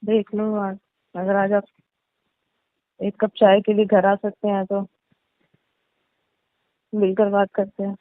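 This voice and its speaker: A woman's voice: mid-range voice, completely flat delivery, slow, pauses often, natural voice. A woman playing a placating, coy married tenant on a phone call, speaking in a repetitive, evasive way. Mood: coy, inviting. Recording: echoey, tinny narrowband phone line.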